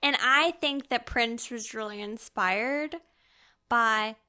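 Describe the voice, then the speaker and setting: A woman's voice: fairly high pitch, slow, sharp tone, smooth. A woman podcast host chatting casually as she retells a novel in an informal, conversational way. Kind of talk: casual chat recapping a novel.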